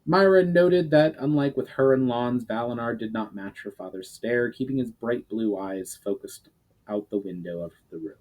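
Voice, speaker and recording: A man narrating a fantasy novel as a dramatic reading, in an expressive, deliberate storytelling manner. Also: medium pitch, rough recording. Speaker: author reading his own book.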